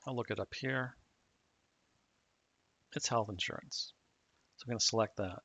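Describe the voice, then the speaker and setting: A man calmly explaining software setup step by step in a screen-recorded tutorial. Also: mid-range voice, no echo. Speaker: software trainer. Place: at a computer, voice-over on a screen recording. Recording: dull dry mic audio.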